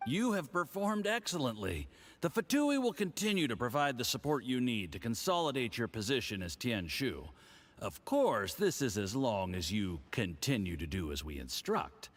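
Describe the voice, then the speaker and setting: An actor playing a smug, condescending, tipsy diplomat in a video game, speaking in a theatrical, patronizing way. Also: male voice, medium pitch, big pitch swings. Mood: smug, businesslike. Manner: theatrical, patronizing, drawn-out delivery.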